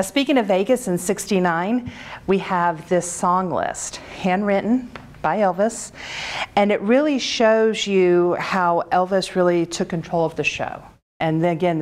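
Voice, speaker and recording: A woman in her thirties presenting items for an auction in a measured, informative way, with occasional fillers. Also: deep voice. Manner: steady, measured, lightly hesitant with fillers. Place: conference room.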